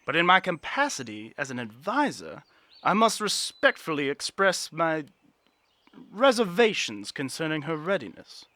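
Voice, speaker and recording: Man acting a bright-voiced, courtly young advisor. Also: a little high, big pitch swings, no echo. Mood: sincere, respectful. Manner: formal, measured, deferential archaic phrasing. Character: earnest, courtly young male political advisor. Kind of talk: fantasy audio drama acting.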